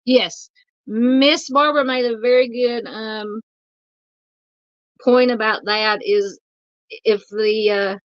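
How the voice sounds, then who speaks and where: A woman with a Southern US accent hosting a true crime livestream, reading out viewer comments in a halting, filler-filled way, polite and a little hoarse from losing her voice. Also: fairly high pitch, fairly even intonation, no room sound, muffled recording.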